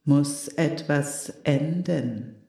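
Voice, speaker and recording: A woman voicing a talking candle flame, the keeper of fire, who speaks slowly and solemnly and allows no objection. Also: low voice, creaky, mellow, echoey room, exaggerated voice.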